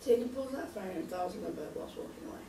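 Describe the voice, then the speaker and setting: A woman's voice: mid-range voice, animated, very fast, gravelly, dark tone. A woman reading stage directions as scripted narration at a table read, recorded from a distance in a noisy, echoey room.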